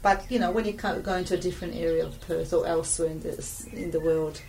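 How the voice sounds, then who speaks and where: Woman, low-pitched, thoughtful and halting, distant mic with faint hiss. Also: steady tone, darkish tone. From Australia. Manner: reflective, halting, laughs often. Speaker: business owner talking about her drawing. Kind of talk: casual chat.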